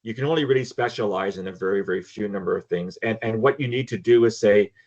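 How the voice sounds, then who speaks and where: This older man talks in a low register, frank and emphatic, often doubling his words as he starts a point. His audio comes through dull and lo-fi. He speaks as a guest in a relaxed online panel. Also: fast. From Canada. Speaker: guest, former intelligence analyst. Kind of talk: casual panel discussion.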